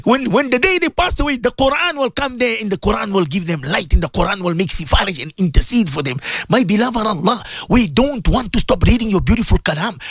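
Very high-pitched South African man, pleading preacher. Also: a bit bright, husky, dry recording. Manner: pleading, emotional, repetitive, often rising to a cry. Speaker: preacher leading a supplication. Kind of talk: impassioned religious supplication sermon.

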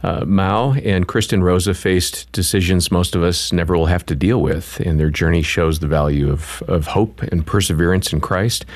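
An American man with a deep voice, recorded very dry. He works as a radio co-host, introducing guests in a smooth-flowing, conversational presenting style. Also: normal speed, few pauses, a little mellow, dry recording.

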